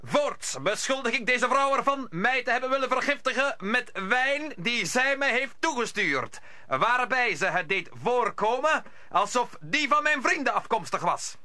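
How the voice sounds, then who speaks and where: A very high, fiery young musketeer. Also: male voice, lively intonation, a little slow, pushed and bright, heavily acted. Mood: accusing. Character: fiery young musketeer making solemn accusations. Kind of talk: old Dutch radio play acting.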